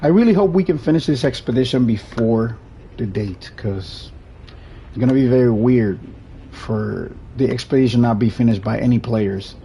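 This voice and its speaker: A man's voice: medium pitch, moderate pace, creaky, warm tone, a little hiss or hum, low-quality recording. A young man from Puerto Rico with a Puerto Rican Spanish accent, a native Spanish speaker chatting easily through his gameplay commentary and often restarting his sentences. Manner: easygoing, rambling, restarts sentences. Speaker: streamer.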